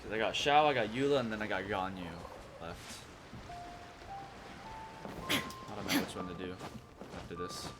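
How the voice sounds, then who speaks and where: A man's voice: deep voice, animated, moderate pace, pauses often. A man doing casual live gameplay commentary, informally musing aloud as he plays. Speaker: game streamer. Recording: loud game music behind the voice.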